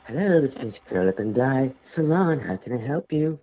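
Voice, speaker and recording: A man's voice: medium pitch, very animated, moderate pace, noisy background. A man voicing a casual salon owner answering a business call on a phone-like recording. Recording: dry, phone-like voicemail audio.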